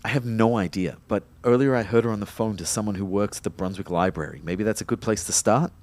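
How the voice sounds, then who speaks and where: A man's voice: fairly low pitch, fast talker, darkish tone, natural voice. An Australian man playing an exasperated young ghost who narrates his own audio drama. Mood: wry narrating. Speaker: actor narrating and playing the lead. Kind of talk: first-person audio drama narration and dialogue.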